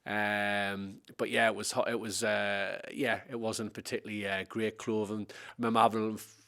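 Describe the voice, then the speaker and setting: A man's voice: lowish voice, level tone, moderate pace, gravelly, pushed and bright. A man in his forties, from Scotland, talking casually about his childhood as an interview guest, meandering along with many fillers. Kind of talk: casual reminiscing.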